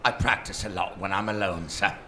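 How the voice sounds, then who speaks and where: Man acting eager hotel bellhop, courteous servant, forceful bright tone, lowish pitch, comic radio play, noisy live stage recording. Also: a little fast. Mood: obliging. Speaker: actor in live radio drama.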